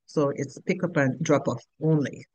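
A middle-aged woman with a Nigerian accent, answering interview questions in an unhurried way with pauses. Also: deep voice, a little mellow, husky, dry recording, muffled recording. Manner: unhurried, simple sentences with pauses and fillers. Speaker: guest, business owner.

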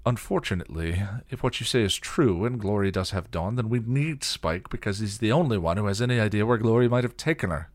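A man's voice: lowish voice, expressive, no echo, pitched above the performer's own voice, natural voice. Man acting a sober male watcher, earnest, formal phrasing, varied intonation, dry studio-like sound, storytelling. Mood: grave. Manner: earnest, measured, formal wording. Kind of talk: dramatic story reading.

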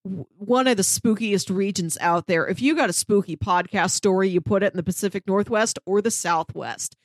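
A woman's voice: mid-range voice, normal speed, dry recording. A woman podcast co-host with a mid-range voice in a dry, close recording. She talks in a casual, chatty review style, eager and enthusiastic, wandering through her thoughts as she makes recommendations. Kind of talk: casual review chat, recommending audio dramas.

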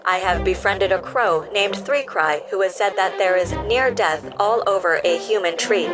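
A woman's voice: mid-range voice, moderate pace, music in the background, close to an everyday voice. A woman playing an earnest, curious health robot in an audio drama, speaking in precise, formal sentences. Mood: measured, concerned. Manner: precise, polite, formal wording.

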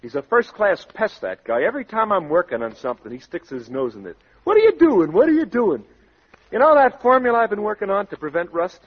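An actor playing an irritated young tinkerer son with sarcastic, slangy griping, in old-time radio comedy style. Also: male voice, high voice, huge pitch swings, a little fast, full character voice. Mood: annoyed sarcasm. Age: young adult. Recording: muffled old radio broadcast recording.

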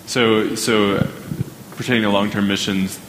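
A man's voice: lowish voice, flat delivery, unhurried, fairly bright tone, slight background noise, low-quality recording. A man leading a group discussion, prompting people with short questions and acknowledgements. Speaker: class discussion leader.

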